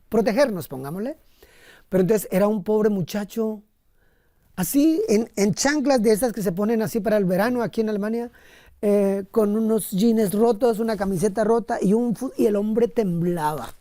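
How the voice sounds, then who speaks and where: A man with a Colombian accent, a missionary priest recounting his experiences conversationally in an interview, often pausing to rephrase. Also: high voice, dark tone, dry recording, clean studio sound. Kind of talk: conversational personal storytelling in an interview.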